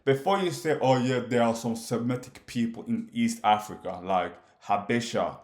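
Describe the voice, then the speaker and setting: A man's voice: medium pitch, moderate pace, a little mellow, reverberant. A Somali man, whose first language is Somali, speaking straight to the camera in a challenging, questioning way, pressing his points with emphasis. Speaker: commentator. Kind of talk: direct-address monologue.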